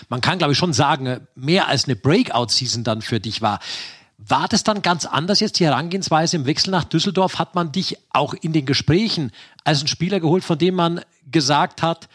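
A man hosting a casual sports podcast, chatting in long, winding questions with a husky voice. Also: a little high, fairly bright tone. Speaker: podcast host.

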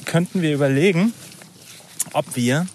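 A man's voice: a little high, a little slow, darkish tone. A man from the Palatinate in Germany hosting a casual podcast, rambling and joking as he talks. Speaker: podcast host. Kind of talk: casual chat. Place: outdoors, walking through fields. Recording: outdoor recording with ambient noise. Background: outdoor ambience.

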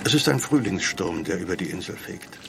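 An actor narrating a historical audio drama about an urgent ancient physician and voicing him, with a gravelly voice and storm effects behind. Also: male voice, deep voice, expressive, a little fast, music in the background. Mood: atmospheric, grave. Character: Hippocrates, urgent, commanding ancient Greek physician, and his narrator. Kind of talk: scripted dramatic storytelling narration.

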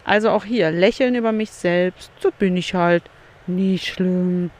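A woman's voice: on the low side, a little slow, a little mellow. A woman hosting a solo podcast, thinking aloud in a candid, self-questioning way, recorded outdoors in a beach chair by the sea. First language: German. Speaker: podcast host. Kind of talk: solo reflective podcast monologue.

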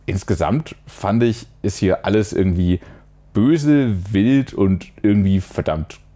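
A man's voice: lowish voice, unhurried, dark tone. A man hosting a music podcast, giving casual reviews and introducing segments.

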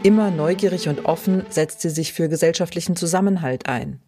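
A woman hosting a podcast, reading scripted narration in a clear, even way. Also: lowish voice, brisk, over music. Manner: clear, even read-aloud delivery. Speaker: podcast host.

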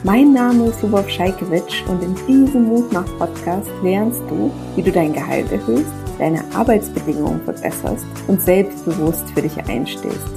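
A woman's voice: mid-range voice, expressive, moderate pace, creaky, hardly any pauses, over music. A woman hosting a podcast, introducing the episode in a friendly, upbeat way and asking her guest questions. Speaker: podcast host.

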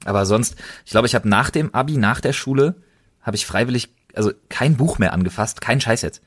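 A man's voice: mid-range voice, animated, brisk, breathy edge. A young man co-hosting a casual podcast, speaking in slang and repeating words for emphasis. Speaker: podcast co-host.

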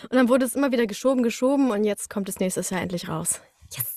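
A woman talking casually as an interview guest, telling things in an animated, conversational way. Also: on the high side, fast, no echo, studio quality. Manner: animated storyteller, acts out short quotes, laughs often.

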